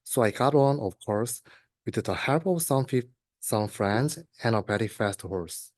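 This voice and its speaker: A man's voice: mid-range voice, expressive, measured pace, dry recording. A young man, a student, reading a rhyming children's story aloud, hesitating and repeating words. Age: young adult. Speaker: student reader.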